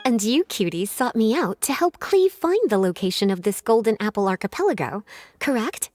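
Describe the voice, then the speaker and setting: A woman voicing a composed, flirtatious, bookish librarian in a video game, measured and teasing in manner. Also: on the high side, expressive, a little mellow, a little hiss or hum, lightly acted. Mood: amused, gracious. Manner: teasing endearments, measured and articulate. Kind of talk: animated video game character acting. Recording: faint music behind the voice.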